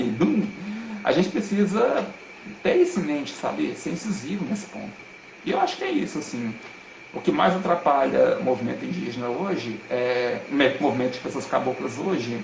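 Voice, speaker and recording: A man's voice: medium pitch, big pitch swings, moderate pace, vocal fry, background noise. A man talks as a guest on a live stream, earnest and emphatic, with a lot of slang. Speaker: guest speaker. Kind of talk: casual live-stream talk. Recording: roomy echo.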